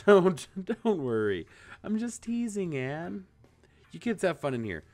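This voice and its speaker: A man's voice: fairly high pitch, very animated, unhurried, darkish tone, soft background music, voice pushed up. A man voicing a mocking, drawling young woman who enjoys teasing her roommate. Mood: playful.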